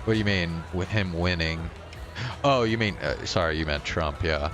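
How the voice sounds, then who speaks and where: A man's voice: low voice, normal speed, over music. A man casually commentating on a live game stream in a blunt, profanity-laced way, speaking off the cuff. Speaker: livestreamer.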